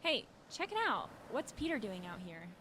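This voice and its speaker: A woman's voice: medium pitch, very animated, moderate pace, pushed and bright, a little hiss or hum. A woman playing a grieving, withdrawn teenage girl with a dry, wry manner in an audio drama. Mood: lighter. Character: grieving teenage girl, withdrawn, sardonic, missing her mother. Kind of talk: fiction podcast audio drama acting.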